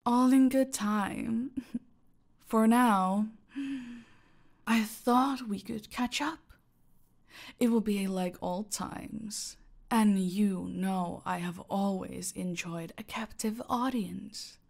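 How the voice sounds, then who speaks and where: Audio roleplay villain: actress as a gloating female cartel boss, gravelly fry, unhurried, menacing, slipping into low-volume murmurs. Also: steady tone, a little mellow. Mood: smug, menacing. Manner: slow, taunting, commanding, often dropping to breathy murmurs.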